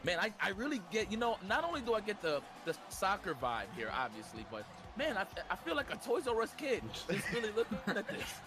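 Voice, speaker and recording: A man with a New York accent, hosting a charity stream and excitedly co-commentating a game with slang and repeated words. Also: high voice, brisk, few pauses.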